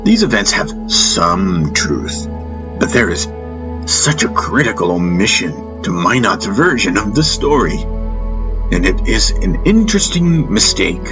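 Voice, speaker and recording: Man acting a slow, playful old master. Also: fairly low pitch, big pitch swings, music in the background. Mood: gently instructive. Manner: mock-modest, playful, deliberate. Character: teasing old male warrior master, playful, wise, amused.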